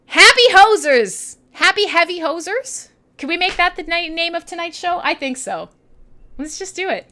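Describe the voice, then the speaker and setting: A woman with a Canadian accent hosting a casual, irreverent live radio show, talking in an excitable, playful, rambling way with plenty of swearing. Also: very high-pitched, normal speed. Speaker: radio host and metal DJ. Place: her own home-style studio.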